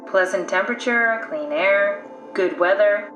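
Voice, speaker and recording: An actress plays a woman scientist living on as a mind inside a machine in a video game. She explains things in a dry, practical, wry way. Her mid-pitched voice rings in an echoey space over faint music. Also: steady tone, measured pace, close to an everyday voice. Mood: matter-of-fact. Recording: echoey room, music underneath.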